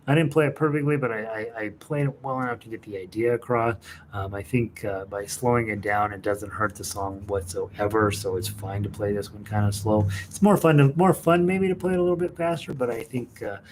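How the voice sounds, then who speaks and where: A man's voice: fairly low pitch, fairly quick, a little hiss or hum. A man teaching a casual fingerstyle guitar lesson in an informal, hesitant way, as if thinking aloud.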